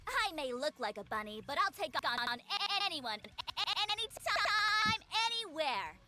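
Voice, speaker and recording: Perky, very high-pitched bunny girl fighter. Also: sing-song, measured pace, over music, exaggerated voice. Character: perky young female bunny-themed game fighter.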